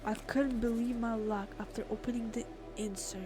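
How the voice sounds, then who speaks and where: A woman doing a let's play, reading game text aloud and adding expressive, informal reactions. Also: on the high side, normal speed. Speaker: gamer, let's player. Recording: clear game music behind the voice. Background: game music.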